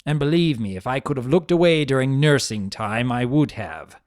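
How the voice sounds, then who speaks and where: A man's voice: medium pitch, animated, normal speed, no room sound, studio quality, lightly acted. A man voicing a vain, spiteful spirit of chaos trapped as a statue, telling a story in a theatrical, sardonic, disdainful way. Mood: disdainful, mocking. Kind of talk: first-person dramatic fan fiction reading.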